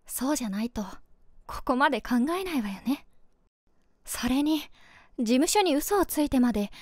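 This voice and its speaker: Casting a secretly smitten young female streamer, voice drama monologue, gentle ladylike musing, high pitch, dry close recording. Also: no room sound. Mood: wondering, lovestruck. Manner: feminine, gentle, musing to herself. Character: sociable, caring 27-year-old female streamer, secretly smitten.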